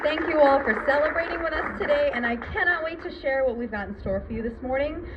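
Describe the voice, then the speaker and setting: A woman hosting a public ceremony, giving an earnest, rousing prepared speech in measured phrases. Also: high-pitched. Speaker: event MC. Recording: muffled event recording with background noise.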